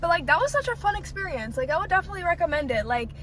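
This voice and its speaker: A chatty woman films a casual vlog, her voice sitting high and bright. She strings her sentences together with constant "like" fillers. Faint noise hums under her voice. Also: sing-song, very fast. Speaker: vlogger. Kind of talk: casual chat. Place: car on the way to a show.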